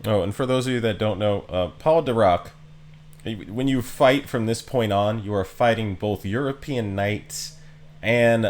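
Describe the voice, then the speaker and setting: A man giving casual live commentary as a game streamer, explaining things in an offhand, halting way. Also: on the low side. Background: game music.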